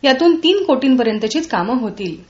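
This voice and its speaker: A woman reads a scripted regional radio news bulletin in a formal, measured way. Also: sits high, very animated. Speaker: radio newsreader. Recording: dull band-limited radio audio, roomy echo.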